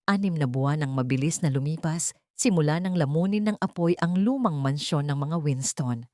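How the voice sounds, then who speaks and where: A woman with a Filipino accent narrates a dramatic audiobook. Her voice is low and mellow, recorded very dry and close. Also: normal speed, no room sound. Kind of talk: scripted dramatic story narration.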